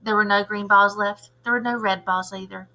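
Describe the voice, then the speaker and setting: A woman's voice: mid-range voice, fairly even intonation, moderate pace, bright, forceful tone. A woman reading a children's storybook aloud as a teacher would.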